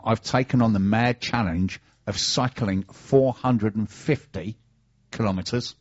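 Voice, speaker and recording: A middle-aged man with a British accent, hosting a radio show with casual chat and sponsor messages. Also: on the low side. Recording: dull, band-limited sound.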